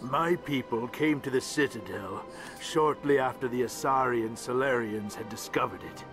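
A man's voice: medium pitch, normal speed, natural voice. An actor playing a grumpy, condescending small alien male ambassador in a video game, over background music. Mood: resentful. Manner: curt, resentful, condescending.

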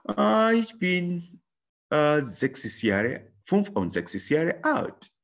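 A man voicing a 65-year-old married German woman, giving slow, halting textbook answers with many fillers. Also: fairly high pitch, very animated, gravelly, lo-fi sound, pitched above the performer's own voice, heavily acted. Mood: answering politely.

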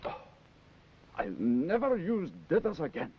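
A man's voice: a little high, big pitch swings, unhurried, noisy background. An actor playing an irritable, blustering middle-aged male boss in a 1960s children's puppet show. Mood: flustered. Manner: stammering, blustering, exasperated. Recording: dated, muffled 1960s TV soundtrack.

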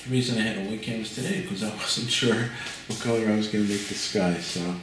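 An older man, giving a relaxed painting demonstration at his easel and thinking aloud with fillers and restarts. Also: on the low side, fast talker. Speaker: painting instructor. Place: art studio at an easel, indoors. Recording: very echoey room, mic far off, audible noise.

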